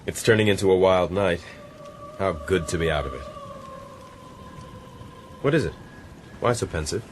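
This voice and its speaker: Male castaway lead for radio drama, played by an actor: low register, earnest, gentlemanly, resolute, with clear background noise. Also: normal speed, lightly acted. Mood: cheerful, relieved, then concerned.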